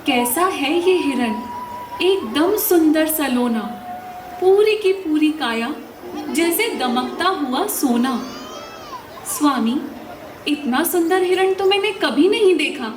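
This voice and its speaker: A woman playing a gentle young princess and devoted wife in a theatrical mythological audio drama, in echoey, distant playback. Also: sits high, animated, slow, pauses often, background noise. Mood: enchanted.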